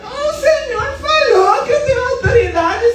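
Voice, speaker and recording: A very echoey, slightly distant church recording with music carries the voice of a Brazilian woman pastor. Her voice is extremely high, and she preaches in an emphatic, animated way, telling stories in everyday colloquial speech. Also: measured pace, slight background noise. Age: 50s. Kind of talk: charismatic church preaching with personal storytelling. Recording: echoey hall, distant sound, music underneath.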